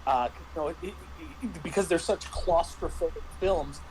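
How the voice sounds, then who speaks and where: A man in a casual review discussion, talking haltingly with repeated words and false starts. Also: fairly high pitch, darkish tone, rough recording. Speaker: panelist reviewing a tabletop roleplaying game.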